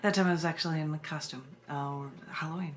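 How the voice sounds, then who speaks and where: An American woman with a fairly low voice presents a live poetry reading. She moves between deliberate, dramatic verse and chatty asides to her viewers. The live stream recording carries some faint noise. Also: fairly even intonation. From United States. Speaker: poet hosting an online poetry reading. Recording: noisy live video stream.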